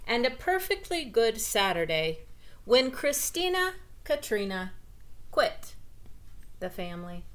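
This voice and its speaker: A woman reading a children's picture book aloud in an expressive, deliberate way. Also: fairly high pitch. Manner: slow, deliberate, explanatory delivery for children. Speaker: storybook reader.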